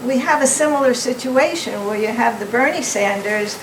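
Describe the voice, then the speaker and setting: A soft-voiced woman in the audience asking an earnest, measured question. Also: on the high side. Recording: echoey hall, distant mic, faint noise.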